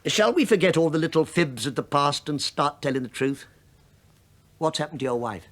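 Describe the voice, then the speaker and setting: A man's voice: on the high side, moderate pace, natural voice. An actor playing a sly, shabby British investigator in a radio play, sardonic and mock-deferential as he probes. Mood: dry, probing. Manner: sardonic, mock-deferential, probing, with dry understatement. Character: wry, sly, shabby male investigator posing as police inspector. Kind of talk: radio drama acting.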